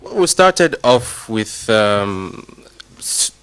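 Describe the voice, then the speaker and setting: A man with a somewhat low voice answers questions on a television talk show, explaining technical ideas in a measured, deliberate way and choosing his words with care. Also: sing-song, fairly bright tone, smooth. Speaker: space program consultant guest. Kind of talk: TV interview.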